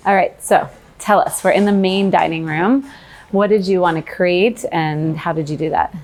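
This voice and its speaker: A woman's voice: on the low side, fairly even intonation, moderate pace, darkish tone. A woman hosting an interview tour, asking polished, upbeat questions. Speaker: host.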